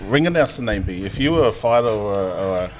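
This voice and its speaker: A man's voice: on the low side, expressive, brisk, over a noisy crowd. A man hosting a casual podcast chat, putting questions to the others in a conversational way. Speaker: podcast host. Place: filmed podcast at a hotel venue.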